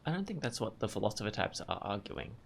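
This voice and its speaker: A man's voice: fairly low pitch, sing-song, fast, creaky. A man hosting a gameplay video, reading story text aloud and adding casual commentary. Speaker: gameplay video host, narrator.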